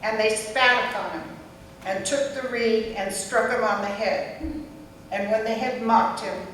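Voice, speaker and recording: A woman's voice: on the high side, measured pace, a bit bright. A woman reading a Bible story aloud as a narrator in a dramatic church reading. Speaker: scripture reader. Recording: echoey church hall, distant mic, faint noise.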